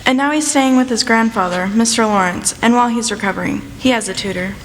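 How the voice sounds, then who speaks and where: A woman's voice: on the high side, fast talker, lightly acted. A young woman playing a proper, easily scandalised elder sister in a radio play, prim and reproving. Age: teens or young adult. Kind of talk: student radio play acting. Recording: reverberant hall, clear background noise.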